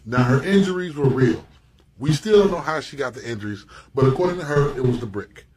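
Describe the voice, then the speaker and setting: Brief: insistent male social-video commentator, mid-pitched, far from the mic, echoey room with a crowd behind. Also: expressive, a little fast. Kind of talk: recorded social video rant.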